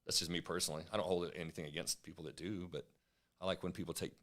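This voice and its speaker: A man's voice: low voice, fairly even intonation, very fast, fairly bright tone. A man, a musician guest talking in a laid-back, self-deprecating way. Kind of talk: casual interview chat.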